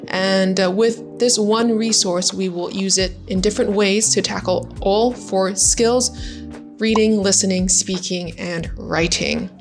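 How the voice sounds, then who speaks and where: A woman's voice: medium pitch, fairly even intonation, measured pace, faint music behind. A young adult woman, a native Vietnamese speaker, talking as an English tutor in a casual, chatty video lesson, often dropping in "like". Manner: friendly, chatty, frequent "like" fillers. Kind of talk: casual YouTube lesson talk.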